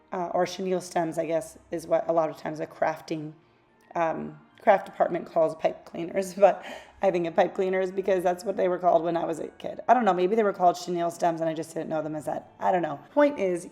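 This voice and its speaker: A woman hosting a craft channel, talking through a tutorial in a casual, rambling voice-over; her voice is a little raspy from losing it. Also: lowish voice, warm tone. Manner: chatty, rambling, self-correcting asides.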